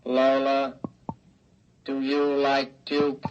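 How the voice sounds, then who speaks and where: An actor playing an obedient, childlike killer robot with a mechanical monotone in a 1950s radio drama. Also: male voice, medium pitch. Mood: insistent. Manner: slow, mechanical, flat monotone. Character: childlike robot man, obedient, eerily learning to kill. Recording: muffled, noisy 1950s radio recording with clicks.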